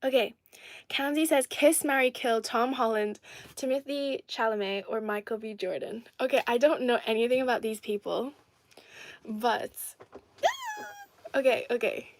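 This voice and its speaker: A woman's voice: on the high side, very animated, measured pace. A young woman from Ireland hosting a casual livestream, reading viewer comments aloud and answering them in a chatty, giggly way. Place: indoor home setting in Adelaide.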